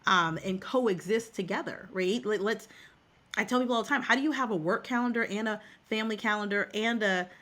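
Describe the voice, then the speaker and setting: A woman's voice: mid-range voice, fairly quick, fairly bright tone. A middle-aged woman who works as a career coach, talking as a guest in a podcast interview. She is emphatic and repeats words for stress.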